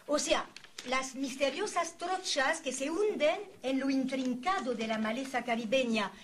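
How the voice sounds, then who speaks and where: A woman interviewer delivering a formal, literary introduction in solemn, florid, deliberate phrases. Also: a little high. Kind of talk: formal, literary scripted introduction with brief asides. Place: university event recorded for a filmed programme. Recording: clear background noise, room ambience.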